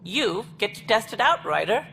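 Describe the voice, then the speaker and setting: An actor playing an officious alien station director in a video game, speaking precisely and formally with a wry, earnest streak. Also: male voice, high-pitched, sing-song, moderate pace, creaky, sharp tone, soft background music, heavily acted. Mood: wry, advisory. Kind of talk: video game dialogue acting.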